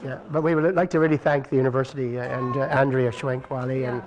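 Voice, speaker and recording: A man's voice: medium pitch, little pitch movement, fast, crowd noise. A man speaking informally as a performer leading a Q&A, hesitant, with stammering restarts. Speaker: puppet show performer leading the Q&A. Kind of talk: informal introductions and Q&A talk. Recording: live event recording with faint noise.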